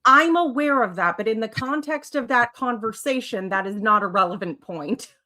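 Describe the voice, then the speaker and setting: Deliberate woman on a panel. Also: on the high side, lively intonation, pushed and bright. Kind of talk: casual panel discussion.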